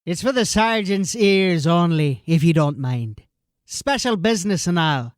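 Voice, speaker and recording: An actor playing a brash, slangy street informant who insists on being heard in a fantasy audio drama. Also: male voice, sits high, animated, unhurried, dry recording, clean studio sound. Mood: sly. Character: pushy, agitated street informant with urgent news.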